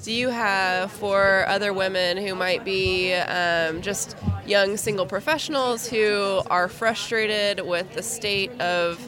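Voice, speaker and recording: A woman's voice: mid-range voice, slow pace, crowd noise. Woman, interview host, friendly, affirming, thoughtful, mid-range voice.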